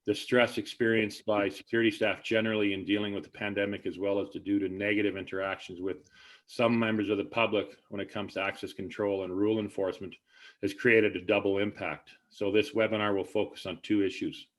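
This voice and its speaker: Male webinar host, low voice, halting delivery full of fillers, video-call sound. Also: little pitch movement. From Canada. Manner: halting, many fillers and restarts. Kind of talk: online webinar hosting.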